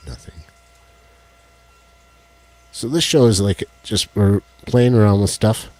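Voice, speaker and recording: A man with a deep voice, co-hosting a casual live stream and joking as he fiddles with his tech. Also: takes it slowly, darkish tone, dry recording, low-quality recording. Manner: rambling, jokey, fiddles with tech while talking. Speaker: co-host of a live stream show. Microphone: headset with headphones.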